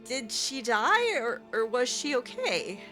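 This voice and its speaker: A woman's voice: on the high side, sing-song, takes it slowly, bright, forceful tone, soft background music. A woman speaking in character in an improvised roleplay conversation, reacting hesitantly and letting phrases trail off. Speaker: player voicing her character in a roleplay playthrough.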